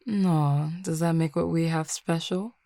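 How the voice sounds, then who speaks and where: A woman's voice: low-pitched, steady tone, measured pace, vocal fry, dark tone, no echo, clean studio sound. A woman voicing a confident, flirty female werewolf in an audio roleplay, playful and teasing. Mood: playful, smug. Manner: playful, teasing, self-assured. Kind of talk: scripted audio roleplay acting.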